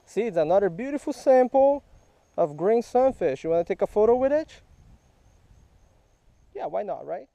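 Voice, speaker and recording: Man, fishing vlog, high voice, dark mellow tone, dry close sound, outdoors by a creek. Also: very animated, moderate pace, vocal fry, long pauses, no echo. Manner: patient, coaching, quizzing. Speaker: fishing vlogger. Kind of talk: casual teaching chat while fishing. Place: outdoors by a small creek in a park. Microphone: head-mounted action camera.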